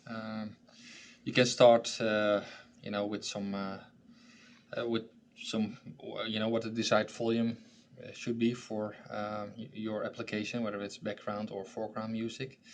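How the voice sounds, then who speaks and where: A man hosting a training session, walking through a software tool in a conversational style. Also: lowish voice, completely flat delivery, measured pace, slight background noise. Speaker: training presenter and host.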